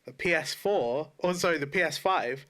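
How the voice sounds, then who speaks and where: A man with a fairly high, very bright voice talks in a casual podcast style. He is informal and loose-tongued, often rephrasing himself as he makes his point. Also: moderate pace. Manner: informal, sweary, self-correcting. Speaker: podcast co-host. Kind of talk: casual opinionated gaming podcast chat.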